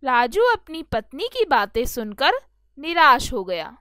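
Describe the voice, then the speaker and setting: A woman's voice: sits high, big pitch swings, measured pace, a bit bright, clear, resonant tone, dry recording, clean studio sound. A woman narrating a scripted children's moral story as a storyteller.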